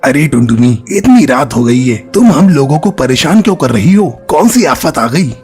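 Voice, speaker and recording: A woman's voice: a bass voice, big pitch swings, moderate pace, darkish tone, soft background music. A woman voicing an adult male bird in a cartoon story. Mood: annoyed.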